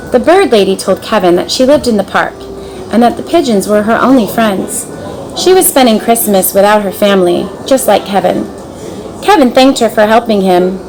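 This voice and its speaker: A woman narrating a children's storybook in an expressive, dramatic storytelling manner. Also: a little high, a little hiss or hum, low-quality recording. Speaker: storybook reader.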